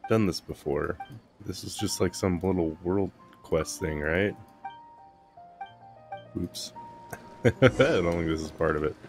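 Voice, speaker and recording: Slow, deep-voiced male game streamer. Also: faint music behind. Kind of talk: quiet casual gameplay commentary.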